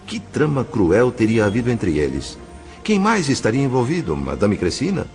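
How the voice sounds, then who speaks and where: A man's voice: medium pitch, huge pitch swings, moderate pace, a little mellow, faint music behind. A man with a Brazilian accent giving a solemn, dramatic narration of a scripted literary story.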